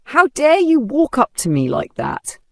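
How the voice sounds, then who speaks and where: A storyteller voicing a haughty, vicious rich woman of thirty who snaps and shouts insults. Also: medium pitch, very animated, normal speed, no echo, pitched above the performer's own voice. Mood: snapping.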